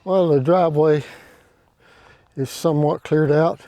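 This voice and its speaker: A man's voice: fairly high pitch, steady tone, unhurried, dark tone, no room sound. A man casually narrating outdoors as he works on his property, hosting his own vlog. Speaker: vlog host doing outdoor property work. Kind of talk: casual narration while working.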